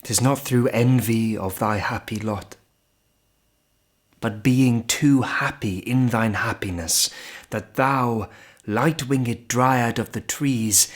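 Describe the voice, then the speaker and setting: A man giving a solemn, expressive poetry recitation in measured phrases with pauses between them. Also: mid-range voice. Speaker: poetry reader.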